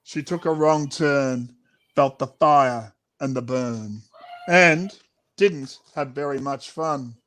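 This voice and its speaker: A man's voice: mid-range voice, slow, a bit bright. A middle-aged Australian man, a children's author, reading and chatting in a playful, theatrical way. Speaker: children's author reading his own book. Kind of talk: animated picture-book read-aloud and chat.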